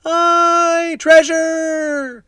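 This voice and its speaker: A man voicing a rowdy pirate crew that answers in shrill shouts. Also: monotone, drawn-out words, no echo, clean studio sound, recorded from a distance, full character voice.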